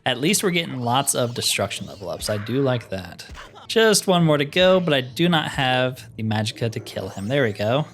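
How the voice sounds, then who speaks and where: A man's voice: medium pitch, big pitch swings, moderate pace, fairly bright tone, faint music behind. A man giving casual, wry commentary as he plays through a video game, often with dry humor. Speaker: gaming video host.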